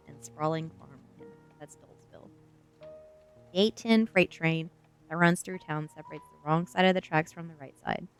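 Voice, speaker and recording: Low-pitched woman reading aloud on stream. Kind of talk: reading a novel aloud on a livestream, with chat asides; ASMR reading.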